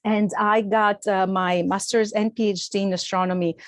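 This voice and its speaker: A woman's voice: mid-range voice, little pitch movement, normal speed, no room sound. A middle-aged woman from Greece with a Greek accent. She gives an earnest presented talk as an astronomer and fills it with uh and um. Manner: earnest, with frequent uh and um fillers.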